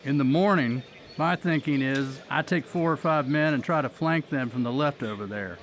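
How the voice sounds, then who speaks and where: An actor with an American accent playing a steady, tactical former army colonel in a radio drama. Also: male voice, mid-range voice, moderate pace, close to an everyday voice. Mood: calm, decisive.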